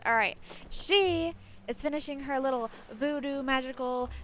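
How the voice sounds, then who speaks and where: A woman hosting a casual game walkthrough, chatting informally and addressing viewers directly. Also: high voice. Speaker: gameplay video host. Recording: poor home mic, faint noise.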